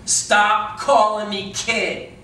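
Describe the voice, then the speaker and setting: A man's voice: sits high, flat delivery, very slow, bright, forceful tone, heavily acted. A man in an amateur staged reading playing a proud, stubborn young boxer, blunt and touchy. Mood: touchy, defiant. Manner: defiant, blunt. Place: echoey indoor room, staged reading. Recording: distant echoey room, faint noise.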